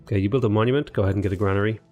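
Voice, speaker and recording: A lowish-voiced man talks his way through a strategy game, thinking aloud and chatting to his units. He sounds a bit sniffly and off-color. Soft game music plays faintly beneath him. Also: very fast. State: sniffly, slightly unwell. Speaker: gaming YouTuber. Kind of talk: casual let's play commentary.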